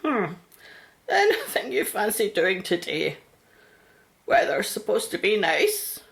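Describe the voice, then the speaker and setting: A woman playing a caring, anxious mother and carer in a radio play, chatty and gentle, at times soft and breathy. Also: medium pitch, huge pitch swings, slow, full character voice. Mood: tender, chatty.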